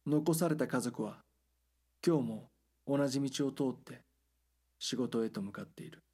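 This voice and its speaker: A man reading scripted documentary drama narration in a measured, serious news-report manner. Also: mid-range voice, a little mellow, breathy edge.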